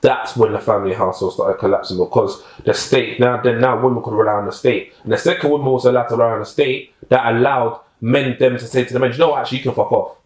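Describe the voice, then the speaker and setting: A man's voice: mid-range voice, fairly even intonation, fast, few pauses. Blunt man in an echoey room. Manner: blunt, keeps asking "do you get me". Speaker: podcast panelist. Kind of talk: casual debate.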